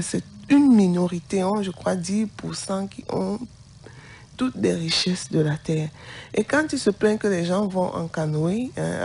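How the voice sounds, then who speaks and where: Gravelly woman preaching with passion. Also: medium pitch, a little mellow, a little hiss or hum. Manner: impassioned, indignant preaching tone. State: has the flu. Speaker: co-host, pastor's wife.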